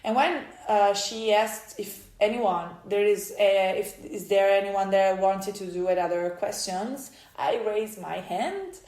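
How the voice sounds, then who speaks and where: An Italian woman with an Italian accent, speaking English as a second language, tells a personal story in a halting, emotional way with many restarts. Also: medium pitch, darkish tone. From Italy. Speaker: vlogger. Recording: echoey room.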